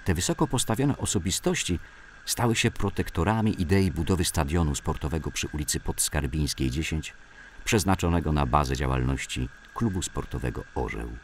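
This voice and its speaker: A man's voice: extremely low pitch, a little hiss or hum. A man reads scripted memoirs as a documentary narrator. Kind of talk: scripted documentary narration of sports-club recollections.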